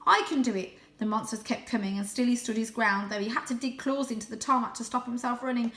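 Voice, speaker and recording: A woman narrates a children's story in a bright, clear-cut voice. Her delivery is animated and suspenseful, like a dramatic audiobook. The room around her adds a noticeable echo. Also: on the high side, quick pace. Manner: animated, suspenseful, with gasped asides. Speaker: storyteller reading a children's book aloud.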